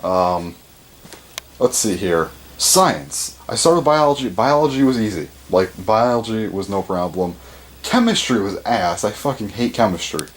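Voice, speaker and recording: Teen guy with a deep voice. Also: expressive, a little slow, slight background noise. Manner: rambling, full of fillers and swearing; pitch jumps up often when stressing a word. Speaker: vlogger. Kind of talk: casual rambling monologue.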